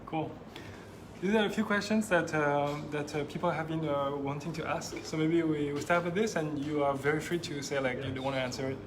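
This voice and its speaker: Man, event host, hesitant, lots of fillers, higher voice, background room noise. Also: fairly even intonation, a little fast. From France and Japan. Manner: hesitant, many fillers, friendly. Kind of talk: casual panel moderation. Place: maker space event room. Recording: noisy room ambience.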